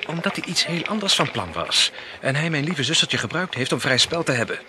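A man acting in an old radio play, speaking in a measured, suspicious, commanding way. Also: on the high side, sing-song, background noise. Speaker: radio drama actor.

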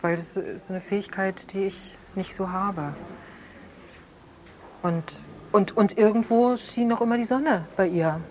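A woman's voice: mid-range voice, a little mellow, slight background noise, natural voice. A woman playing a reflective close friend being questioned in a naturalistic radio drama, thinking aloud and searching for words. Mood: pensive. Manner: thoughtful, searching for words, halting. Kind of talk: improvised naturalistic interview drama.

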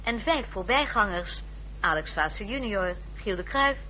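Announcer: woman, formal scripted credit reading, varied pitch, muffled vintage radio sound. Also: expressive, a little slow. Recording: dull old radio recording, faint noise.

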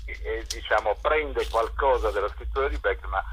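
A man answering interview questions as a festival curator, unscripted and reflective, often pausing to search for words. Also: lowish voice, a bit bright, breathy edge. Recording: dull, lo-fi sound.

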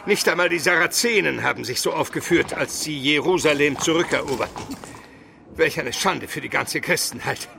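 A man's voice: on the high side, normal speed, vocal fry, bright, forceful tone, a little hiss or hum. A man playing an educated, pious Byzantine minister on the run, solemn and formal, in a German radio play. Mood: grateful, indignant.

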